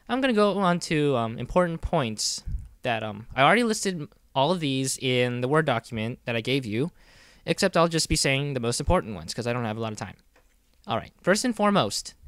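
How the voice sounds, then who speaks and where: A man's voice: a little high, a bit bright, dry recording. A man explaining instructions casually as a project director, informal, rambling and encouraging. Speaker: director of a fan dub project giving audition instructions. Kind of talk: casual semi-scripted explainer.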